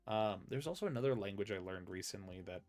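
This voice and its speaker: A young American man hosting a casual interview, rambling with lots of restarts and getting animated when he tells a story. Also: fairly low pitch, fast talker. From United States. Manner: rambling, many fillers and restarts, animated when telling stories. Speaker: podcast host.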